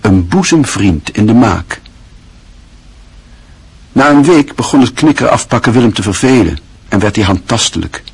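Low-voiced male radio play narrator. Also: lively intonation, normal speed, pauses often, a little mellow, slightly rough. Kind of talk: scripted literary narration.

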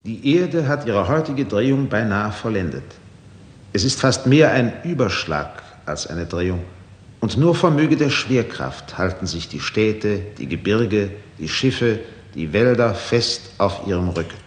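A man narrates solemn literary prose. His lowish voice rings in a very roomy acoustic with long reverb. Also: lively intonation, unhurried.

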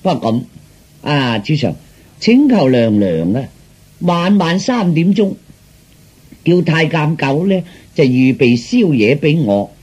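A man with a Cantonese accent telling a folk story in a colloquial, animated way, acting out the dialogue with drawn-out particles. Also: on the high side, husky.

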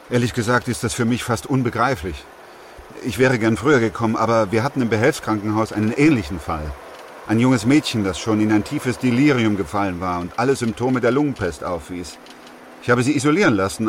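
An actor playing a composed, middle-aged doctor who narrates a plague chronicle in a radio drama, calm and sober. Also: male voice, mid-range voice, slight background noise, close to an everyday voice. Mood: relieved.

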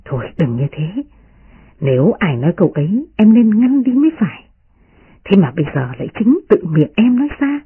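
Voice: mid-range voice, big pitch swings, a little fast, breathy edge, narrow, tinny audio, full character voice. A performer voicing an impulsive young master of the household, petulant and insistent, in a storybook reading. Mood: exasperated.

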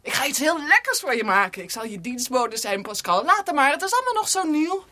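An actress playing a devoted young niece in love with her master in a radio drama, ardent and breathy, often dropping to a hush. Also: high voice, sing-song, a little fast, no echo, heavily acted. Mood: happy, affectionate. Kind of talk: Dutch radio drama acting.

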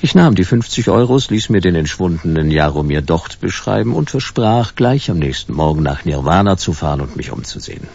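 Casting: hard-boiled private eye in radio noir, husky low voice, sardonic and laconic. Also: male voice, big pitch swings, fairly quick, hardly any pauses, a little mellow, highs cut off, heavily acted. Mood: laconic narrating. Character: world-weary, wry hard-boiled male private detective. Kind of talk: German radio play noir acting and first-person narration.

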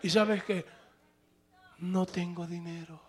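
A male pastor preaching a sermon, moving between plain conversational talk and loud, shouted passages. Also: high voice, fairly even intonation, slow.

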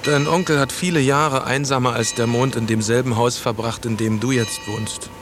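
An actor playing a calm, matter-of-fact village man in a radio play. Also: mid-range voice, normal speed, noisy background, close to an everyday voice. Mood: somber. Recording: music under voice. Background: music.

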